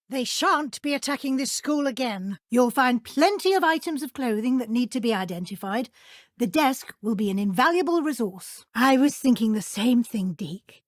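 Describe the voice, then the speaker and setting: Game role: British schoolmistress witch, high-pitched, formal and precise, caring but brisk, recorded very dry. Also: dry recording. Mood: solemn. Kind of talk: scripted video game character dialogue.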